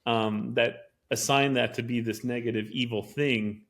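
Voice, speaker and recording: Casual podcast co-host, male, mid-pitched, talks in long, eager, lecturing runs. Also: fairly even intonation, a little slow, fairly bright tone. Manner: eager, lecturing explanations with many fillers.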